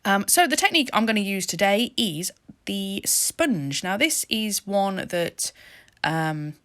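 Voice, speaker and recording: A woman's voice: very animated, a little slow, fairly bright tone. Giggly chatty woman narrating a nail video. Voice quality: slightly deep for a woman. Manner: giggly, rambling, self-deprecating. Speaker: craft channel host. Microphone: voice-over mic.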